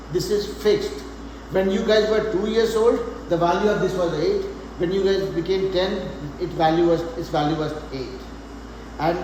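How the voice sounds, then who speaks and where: A man's voice: high voice, darkish tone. A man in his forties teaching a live math class, patiently repeating points and asking students questions. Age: 40s. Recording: echoey room, faint background noise.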